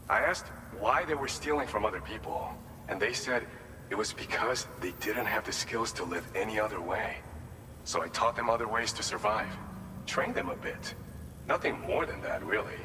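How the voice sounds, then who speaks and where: A man voicing an earnest, humble former boxing champ in a video game, speaking in a measured, plain-spoken, sincere way. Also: fairly low pitch, natural voice. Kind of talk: video game cutscene dialogue and briefing. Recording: reverberant hall, background vehicle noise.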